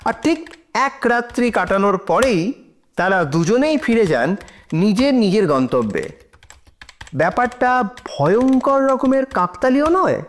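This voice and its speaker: A man playing a shrewd, composed defense lawyer in an audio drama, questioning deliberately with pauses for effect. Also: sits high, sing-song. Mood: probing, composed. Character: shrewd male defense lawyer cross-examining in court, probing, composed. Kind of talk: audio drama courtroom acting.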